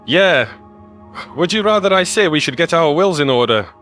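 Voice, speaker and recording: Man as a higher-voiced scruffy conspiracy theorist. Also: animated, normal speed, fairly bright tone, soft background music. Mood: earnest. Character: scruffy earnest human male conspiracy theorist. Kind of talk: audio story dialogue.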